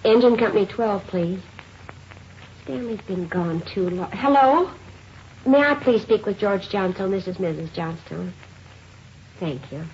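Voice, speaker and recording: A woman's voice: mid-range voice, lively intonation, measured pace, pauses often, a little mellow, dull audio. An actress playing a polite, worried mother in her early forties in a radio drama, hesitant and holding her anxiety in. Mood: anxious, controlled. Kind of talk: 1950s radio drama acting. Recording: hissy, band-limited old radio recording.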